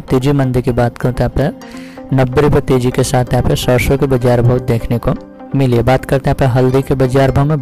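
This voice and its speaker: A man's voice: mid-range voice, mellow, rough recording. A man hosting a market update, reading out prices as scripted narration in a formulaic, repetitive recital. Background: music.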